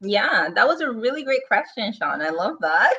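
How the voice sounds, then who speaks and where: A woman's voice: on the high side, sing-song, moderate pace, pushed and bright, breathy edge, muffled recording. A woman in her thirties with a Guyanese accent, a guest chatting casually in an interview and laughing easily.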